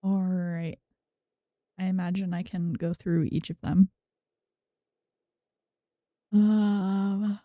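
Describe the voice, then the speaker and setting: Female game streamer: extremely mellow, slow-paced, casual offhand commentary, talking half to herself. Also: on the low side, little pitch movement, no room sound, muffled recording. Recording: game music behind the voice.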